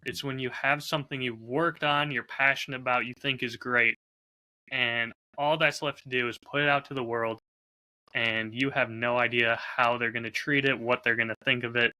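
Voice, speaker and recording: Very bright-voiced man in podcast chat. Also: medium pitch, fairly even intonation, moderate pace, rough recording. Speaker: podcast host and audio drama creator. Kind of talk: casual reflective podcast conversation.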